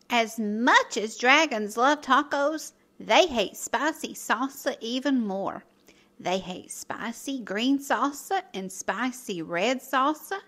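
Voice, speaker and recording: Very animated, high-voiced woman reading a storybook. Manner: playful, expressive, addresses listeners and characters directly. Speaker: children's storybook narrator and host. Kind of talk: animated read-aloud storytelling.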